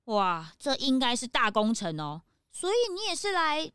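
Woman voicing a high, excitable young sidekick. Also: normal speed, clean tone, no room sound, studio quality, full character voice. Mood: surprised, doubtful. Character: excitable, blunt, curious young detective's sidekick. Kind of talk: children's audio drama acting.

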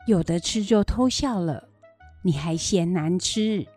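A woman playing an elderly grandmother in a children's radio drama, caring, fussing and quick to chide. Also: lowish voice, lively intonation, a little mellow, soft background music. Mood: fussing, scolding. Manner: fussing, chiding.

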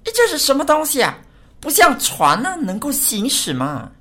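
A woman's voice: sits high, huge pitch swings, moderate pace, a bit bright, heavily acted. A woman playing a scornful, mocking onlooker who jeers and exclaims in a dramatized Bible story. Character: jeering, scornful onlooker in a crowd mocking Noah.

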